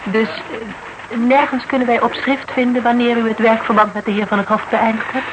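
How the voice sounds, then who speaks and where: A woman's voice: on the high side, flat delivery, fairly quick, natural voice. Actress as cool shrewd woman investigator in an old radio play, dry and businesslike, lots of hiss. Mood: formal, probing. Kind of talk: Dutch radio drama acting. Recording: noisy old radio drama recording.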